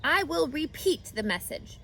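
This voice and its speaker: A woman's voice: high voice, very animated, measured pace, gravelly, a bit bright, slight background noise, voice pushed up, heavily acted. A woman voicing an eager, naive young male pig in a children's storybook, earnest and over-polite, full of exclamations. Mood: earnest, formal. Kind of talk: children's storybook read-aloud.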